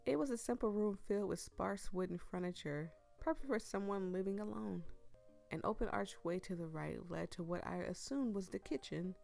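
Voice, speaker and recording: A woman streamer reading a visual novel aloud, with gushing, giggly asides and a voice that is going hoarse. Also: medium pitch, moderate pace, darkish tone, soft background music. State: losing her voice. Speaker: gameplay streamer.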